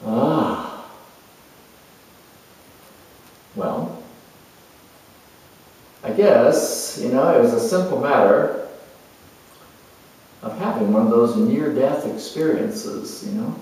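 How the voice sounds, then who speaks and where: A man playing an earnest, reflective spiritual seeker in a dramatized monologue, speaking haltingly and conversationally with pauses. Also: mid-range voice, darkish tone, natural voice. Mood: musing, frank. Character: earnest spiritual seeker, male adventurer turned motivational broadcaster. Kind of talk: monologue in character, delivered conversationally. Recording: echoey room, distant microphone.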